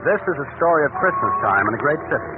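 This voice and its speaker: A man's voice: a little high, big pitch swings, quick pace, heavy background noise. A man playing an earnest, formal announcer narrating a mystery in dramatic 1930s radio style on an old recording. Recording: old radio broadcast, phone-like, music behind.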